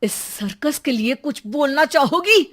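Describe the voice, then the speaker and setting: A woman's voice: high voice, lively intonation, unhurried, fairly bright tone, pitched above the performer's own voice. A woman voicing an irritated office-working father, complaining dryly in a children's cartoon story. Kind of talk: animated children's comedy story.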